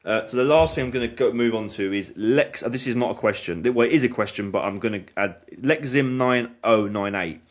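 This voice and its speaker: A man's voice: medium pitch, fairly quick, few pauses. A man hosting a casual snooker podcast chat, stumbling and restarting often as he talks things through. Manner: hesitant, many restarts and fillers. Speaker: snooker channel host.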